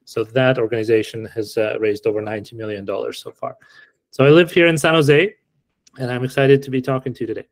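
A middle-aged man from Ukraine answering interview questions in a relaxed, explanatory way with frequent fillers. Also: medium pitch, quick pace, dry recording. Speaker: podcast guest.

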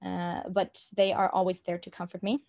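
A young Norwegian-accented woman speaks in a lowish, fairly flat voice. She talks casually in an interview, often pausing with fillers and restarting her sentences. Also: vocal fry. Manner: hesitant, many fillers and restarts. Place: room at home.

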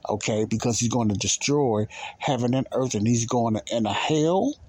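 A man's voice: medium pitch, moderate pace, a little mellow, slightly rough, no echo. A man teaching the Bible in an informal sermon, emphatic and repetitive, often asking questions and answering them himself.

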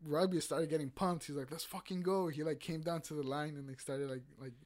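A man's voice: fairly high pitch, steady tone, fairly quick, a little mellow. Young man, rambling casual chat. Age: young adult. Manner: rambling, swears a lot, many fillers and restarts. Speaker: podcast host.

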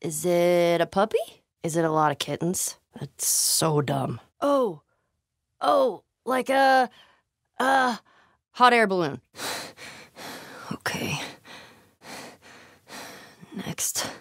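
A woman's voice: on the low side, slow, vocal fry, pauses often, dry recording, studio quality. A woman playing a tough, sardonic, foul-mouthed young American survivor in a video game, blunt and naturalistic in delivery. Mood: relaxed, dry. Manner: blunt, sweary.

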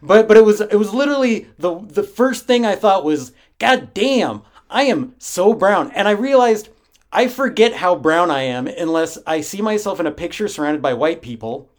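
A man's voice: sits high, animated, normal speed. A man co-hosting a podcast, talking casually and animatedly and laughing often. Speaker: podcast co-host. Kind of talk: casual chat.

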